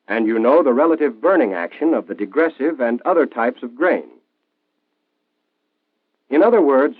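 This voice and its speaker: A tinny, band-limited old film soundtrack carries an American man's narration. He explains things in a clear, instructional 1940s style, with lively rises and falls in his pitch. The sound is dry, with very little room around the voice. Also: dry recording.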